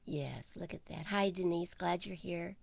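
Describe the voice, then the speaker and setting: A woman minister hosting a casual online service, chatting with viewers and greeting them by name, her voice hoarse and gravelly. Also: on the low side, measured pace, dry recording. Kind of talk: casual livestream chat with viewers.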